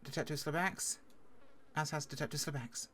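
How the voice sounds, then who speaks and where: Firm woman with a medium-low speaking voice. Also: moderate pace, fairly bright tone. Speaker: roleplayer playing a public defender. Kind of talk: improvised legal roleplay.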